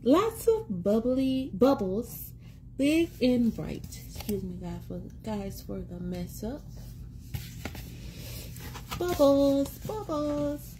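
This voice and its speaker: A woman in her thirties reading a picture book aloud to young children in an expressive, sing-song, encouraging way, repeating words for them. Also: sits high, slow pace, background noise. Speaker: children's read-aloud host and teacher. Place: small indoor room at home. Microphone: phone or tablet at arm's length.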